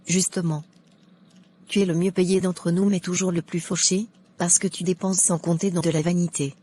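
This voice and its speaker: A woman playing a sensible, frank colleague who lends money in an animated moral tale. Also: lowish voice, moderate pace, close to an everyday voice. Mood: reproachful.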